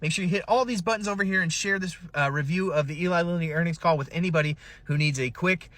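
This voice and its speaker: A man's voice: a little high, quick pace, a bit bright, no room sound. A man hosting a podcast, giving an emphatic, informal news recap straight to viewers. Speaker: podcast host.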